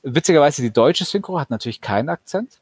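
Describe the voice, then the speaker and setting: A man co-hosting a casual podcast chat, enthusiastic and quick to swear, often restarting his sentences. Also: a little high, lively intonation, husky, no room sound, clean studio sound. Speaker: podcast co-host.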